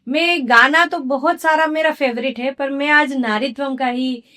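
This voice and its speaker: Hoarse-throated woman, slow and earnest. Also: high-pitched, fairly even intonation, bright, forceful tone. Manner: earnest, measured, with fillers. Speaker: guest, founder of a women's platform. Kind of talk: podcast interview conversation. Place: podcast studio.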